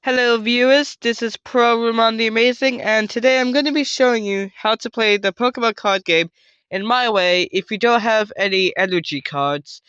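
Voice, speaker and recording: A man with a high, smooth voice gives a casual, off-the-cuff tutorial. He talks loudly and wanders, often correcting himself. The sound is close and dry but dull and lo-fi. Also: normal speed, no echo. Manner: loud, rambling, self-correcting. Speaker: host of a card game tutorial video. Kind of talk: casual unscripted how-to explanation.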